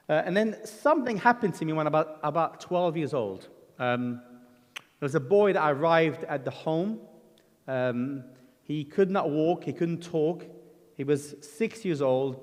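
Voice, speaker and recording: A middle-aged man from Lebanon preaches in a church hall, and his voice carries a long reverb. His pitch sits a little high for a man. He tells his own story earnestly and conversationally, with ums and restarts. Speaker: guest preacher. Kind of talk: sermon with personal testimony.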